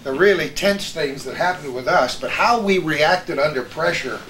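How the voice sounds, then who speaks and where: A man's voice: a little high, expressive, normal speed, a bit bright, a little hiss or hum, rough recording. An older man hosting a casual chat, telling stories and anecdotes in a conversational way. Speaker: host of a reminiscing chat among radio veterans.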